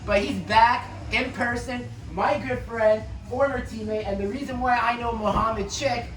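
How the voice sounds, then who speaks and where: A man hosting a casual chat show, with a booming voice and a loud, joking, informal way of talking that includes swearing. He is speaking in a gym office without microphones. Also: steady tone, normal speed, far from the mic. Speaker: podcast host. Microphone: no microphones, voice picked up from a distance. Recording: echoey room, voice picked up from afar.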